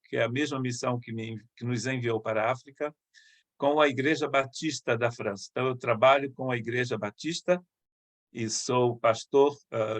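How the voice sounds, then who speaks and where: Middle-aged missionary pastor, dry close sound. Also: male voice, mid-range voice, dry recording. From Brazil, Rio de Janeiro state. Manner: conversational, halting with restarts.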